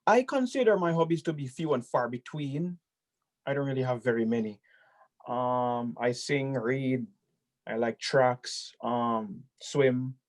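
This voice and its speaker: Young man, halting and wry. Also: mid-range voice. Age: young adult. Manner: measured, halting, wry humor. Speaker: student guest. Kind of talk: casual self-introduction.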